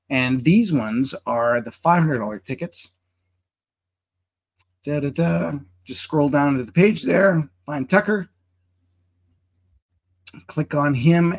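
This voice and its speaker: A man hosts a live webinar in a chatty, promotional way, his voice sitting a little high for a man. He fills pauses with plenty of filler words. The sound is a video call mic. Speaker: webinar host. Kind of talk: live webinar hosting and introduction.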